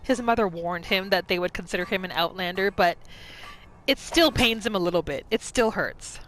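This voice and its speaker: Bright-voiced woman narrating a game roleplay. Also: mid-range voice, moderate pace, slight background noise, poor audio. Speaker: gaming video creator, roleplayer. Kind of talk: roleplay gameplay commentary, narrating her character in third person. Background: faint music.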